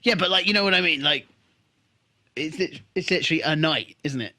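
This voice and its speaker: A woman's voice: low-pitched, brisk, pushed and bright. A woman co-hosting a podcast in casual banter, often asking questions and giggling. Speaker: podcast co-host.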